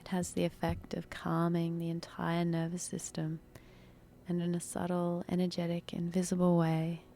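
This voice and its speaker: A woman leading a guided meditation in a soft, breathy, unhurried, soothing manner with long pauses. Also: lowish voice, completely flat delivery, slight background noise.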